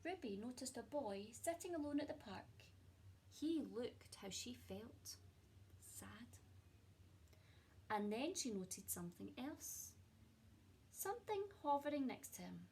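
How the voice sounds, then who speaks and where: A woman reading a children's picture book aloud in an expressive storytelling way, as a teacher speaking to young listeners. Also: on the high side, unhurried, creaky, long pauses, a little hiss or hum.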